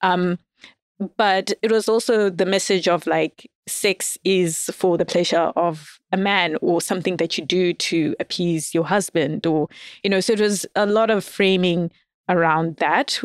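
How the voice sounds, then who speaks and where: Woman guest chatting on a podcast, laughs a lot, lively storytelling, dry close mic. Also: fairly low pitch, unhurried, no echo. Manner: laughs often, animated storytelling. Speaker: guest, audio fiction writer. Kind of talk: casual interview chat.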